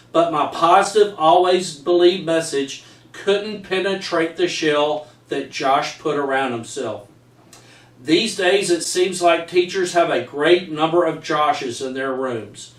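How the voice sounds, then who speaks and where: Higher-voiced man, echoey and distant. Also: fairly bright tone. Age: middle-aged. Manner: earnest, stumbles over words while reading. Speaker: teacher and author reading aloud. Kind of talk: reading own true story aloud for educators. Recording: echoey room, distant microphone.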